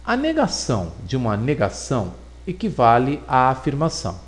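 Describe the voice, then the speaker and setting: A man giving a recorded logic lesson, explaining deliberately and repeating points for emphasis. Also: mid-range voice, huge pitch swings. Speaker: teacher.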